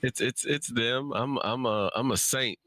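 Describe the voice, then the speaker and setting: A man's voice: mid-range voice, a little slow, pushed and bright. A man hosting a casual livestream, chatting in a playful, informal, bantering way. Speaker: livestream host introducing a guest.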